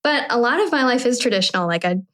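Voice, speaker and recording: A woman's voice: a little high, rapid-fire. Candid, rambling woman podcast host. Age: 30s. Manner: candid, animated, rambling, with swearing. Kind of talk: casual podcast conversation.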